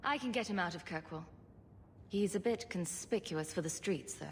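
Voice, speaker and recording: An actress playing a wry, self-assured young mercenary in a video game, with a dry, sardonic delivery. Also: female voice, fairly low pitch, normal speed, a little hiss or hum, natural voice. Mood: matter-of-fact.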